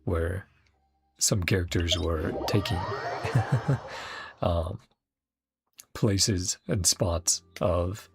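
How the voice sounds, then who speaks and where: A man's voice: deep voice, vocal fry. A man rambling casually as he streams a game and reacts to it. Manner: rambling, chatty.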